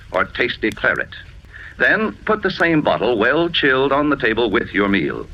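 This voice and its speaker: An American man announces a 1940s radio commercial. His delivery is polished and emphatic, with lively swings of pitch. The recording is dull and old. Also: slight background noise.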